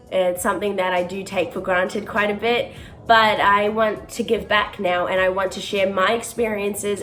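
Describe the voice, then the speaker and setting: A young woman, a touring musical theatre performer talking earnestly to camera in a casual vlog, often pausing on "um". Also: mid-range voice, fairly bright tone. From born in South Africa, raised in New Zealand. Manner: earnest and chatty, with frequent ums.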